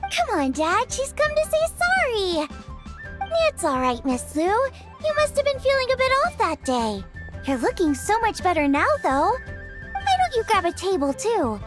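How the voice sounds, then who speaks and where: A very high, swooping girlish game voice, playing a cheerful young cook. She is perky and friendly in a casual way, over prominent music. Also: wildly swooping pitch, measured pace, exaggerated voice. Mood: friendly, reassuring. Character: cheerful, bubbly young female restaurant cook. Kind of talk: animated video game voice acting. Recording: music behind the voice.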